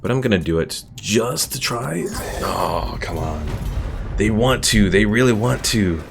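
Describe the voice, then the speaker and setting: A man with a deep, gravelly voice talks casually through a strategy game stream. He rambles, reads viewer messages and lore text aloud. Soft game music sits faintly behind him. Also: animated, a little slow. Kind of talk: casual gameplay commentary.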